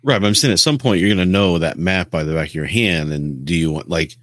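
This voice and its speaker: A man with a low, deep voice chats in a dry, close recording. His talk is casual and opinionated, pressing points and questions the way a gaming podcast co-host does. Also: fast talker, fairly bright tone, no room sound. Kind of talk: casual chat about video games.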